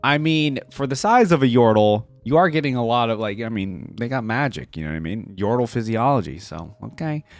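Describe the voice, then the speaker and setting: A man's voice: mid-range voice, lively intonation, a little fast, hardly any pauses, no room sound, soft background music. A young man hosting a reaction video, giving casual commentary in an informal, slangy way.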